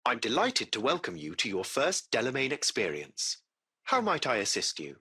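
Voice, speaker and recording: A man's voice: medium pitch, huge pitch swings, normal speed, bright, forceful tone, husky, exaggerated voice. A man voicing a courteous, composed artificial intelligence that runs a taxi fleet, speaking in formal, precise, butler-like phrases for a video game. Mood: courteous, pleased. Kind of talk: scripted video game voice acting.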